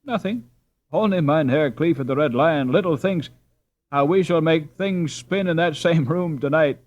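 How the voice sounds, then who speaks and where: Man putting on a cheeky boy, raised pitch. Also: normal speed, darkish tone, highs cut off, natural voice. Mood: mischievous. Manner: mocking, teasing. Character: mocking, mischievous boy, cheeky and boisterous.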